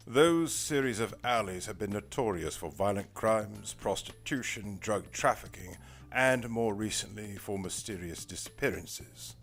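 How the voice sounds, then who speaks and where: A man's voice: lowish voice, lively intonation, measured pace, a bit bright, husky, heavily acted. A man playing a formal, dry, weary older private investigator in an audio drama, speaking in precise, wordy sentences. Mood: measured, businesslike. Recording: music behind the voice.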